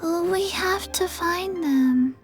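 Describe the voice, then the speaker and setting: A solemn little girl in a video game. The childlike voice is high and extremely slow, halting between words, over music. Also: exaggerated voice. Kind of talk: English video game voice acting.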